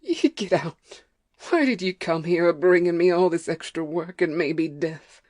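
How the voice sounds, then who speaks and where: A woman's voice: lowish voice, unhurried, a little mellow. A woman playing a weary, stubborn, ignorant farm wife with a rural American accent, scolding in folksy dialect in an audiobook play. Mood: angry refusal. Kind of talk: amateur audiobook play acting.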